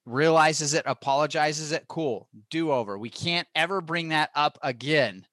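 A man's voice: on the high side, unhurried, a bit bright, no room sound. A man hosting a podcast interview in casual chat, talking in an informal, rambling way and airing his opinions. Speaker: podcast host interviewing an author.

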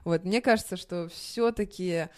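A woman's voice: on the low side, measured pace. A woman from Russia, a native speaker of Chinese and Russian, answering interview questions conversationally. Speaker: interview guest.

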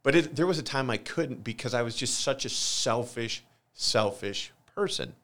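A man's voice: mid-range voice, animated, normal speed, a bit bright. An American man in his forties from Michigan talks candidly about his own life in an interview, earnest and confessional, repeating phrases for emphasis. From Michigan, United States. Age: 40s. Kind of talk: candid personal testimony in a conversational interview.

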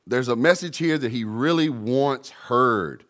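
Emphatic preaching man, lively swings. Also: mid-range voice, a little slow. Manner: emphatic preaching, calls to the congregation; jokes about mumbling. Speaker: pastor. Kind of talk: sermon.

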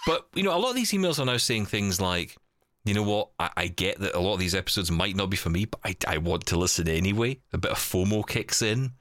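A Scottish man hosts a casual podcast with a jokey, self-deprecating manner. His voice is fairly low but bright and very animated, swinging up and down as he banters. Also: quick pace. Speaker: podcast host.

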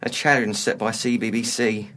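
An actor playing a bitter middle-aged ex-punk with a London accent, blunt, slangy and grumbling. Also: male voice, lowish voice, steady tone, normal speed, a bit bright, lightly acted. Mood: glum. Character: bitter, blunt washed-up male ex-punk, quick to bristle. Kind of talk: naturalistic dark comedy dialogue.

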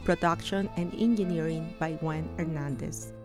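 A woman's voice: sits low, fairly even intonation, moderate pace. A woman hosting a community radio program, reading a formal intro and asking interview questions. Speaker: radio host. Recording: radio voice over faint violin music.

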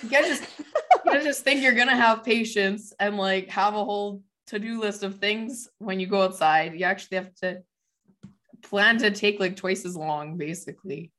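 A woman in her thirties talks in a casual podcast chat, her voice hoarse from a cold. Her tone is bright and forceful under the rasp. She chats easily, peppering her talk with likes and laughter. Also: mid-range voice, moderate pace. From Canadian prairies. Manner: chatty, laughs often, many likes. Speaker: podcast co-host, farm mom.

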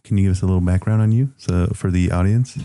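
A woman podcast host with a very low voice, asking short, casual questions. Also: fast, mellow, no echo, clean studio sound. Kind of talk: casual interview chat.

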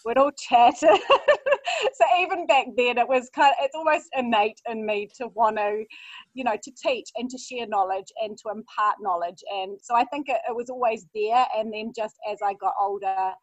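New Zealand woman in her thirties, podcast guest, a bit high-voiced, dull lo-fi line. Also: moderate pace, no echo. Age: 30s. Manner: warm, chatty storytelling with fillers. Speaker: guest, mindfulness coach. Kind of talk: podcast interview. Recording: dull, lo-fi sound.

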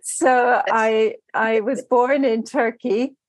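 A woman's voice: fairly high pitch, steady tone, slow, no echo. A middle-aged woman raised in Germany, a podcast guest who talks casually about her faith and laughs easily. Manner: warm, laughs often, speaks with faith. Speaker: podcast guest, life coach. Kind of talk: casual interview chat.

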